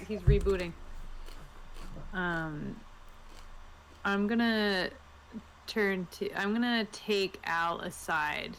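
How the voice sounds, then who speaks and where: A woman's voice: fairly low pitch, a bit bright, a little hiss or hum, low-quality recording. A woman playing a tabletop game over a video call, chatting and improvising casually. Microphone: online video call.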